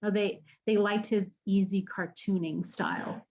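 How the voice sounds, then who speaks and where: An actress playing an earnest, haunted woman in an audio drama, speaking haltingly and searching for her words. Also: medium pitch, steady tone, darkish tone, dull audio, close to an everyday voice. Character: earnest, haunted woman running an apocalypse support group. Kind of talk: naturalistic podcast radio drama acting.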